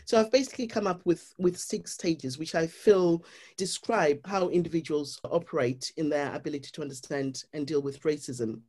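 A guest with a Zimbabwean accent speaks in an earnest, explanatory way, laying out ideas one stage at a time. The pitch sits in a middle range, and the talk is steady and sincere. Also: moderate pace. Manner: earnest, explanatory, with frequent "you know". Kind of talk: reflective interview talk.

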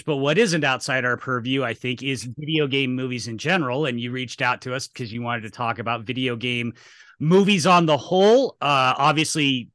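A man hosts a casual podcast in a bright, forceful voice. His pitch sits in the middle of the male range. The sound is dry and close, free of room echo. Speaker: podcast host.

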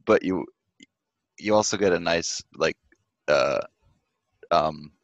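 Man, low voice, wry casual chat, dull muffled sound. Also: slow pace, dry recording. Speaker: podcast co-host. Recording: muffled lo-fi audio.

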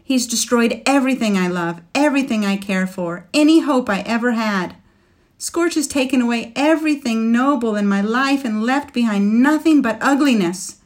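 A woman's voice: fairly low pitch, a little slow. A woman reading as a fierce, grieving warrior maiden. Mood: anguished. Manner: passionate, rising to near shouting. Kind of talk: audiobook character reading.

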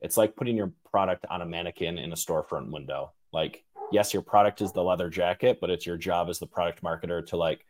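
A man with a low voice hosts an informal interview. His phrasing is loose and wandering, as in relaxed conversation between colleagues. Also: quick pace, few pauses. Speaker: interview host. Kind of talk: casual interview chat.

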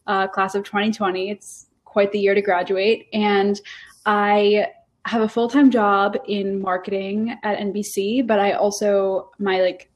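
A woman's voice: mid-range voice, little pitch movement, a little slow. Chatty young woman with many fillers. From Rhode Island. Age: 20s. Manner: rambling, many fillers and restarts. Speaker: guest. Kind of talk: casual interview chat.

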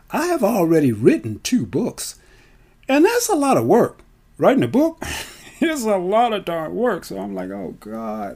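A man in his seventies with an American accent, telling his story as a live presentation with theatrical, deliberate phrasing and dramatic emphasis. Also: fairly high pitch, mellow. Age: 70s. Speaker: storyteller and speaker.

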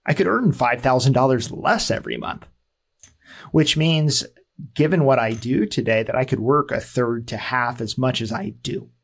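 A man's voice: medium pitch, moderate pace, gravelly, slightly rough. A man in his forties, hosting a podcast and explaining ideas in an easygoing, self-deprecating way with frequent fillers. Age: 40s. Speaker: podcast host. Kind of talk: casual explanatory talk.

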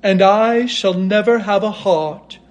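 A man's voice: high voice, slow, muffled recording. A man voicing a loyal, mournful tin woodman in a storybook, with an earnest manner.

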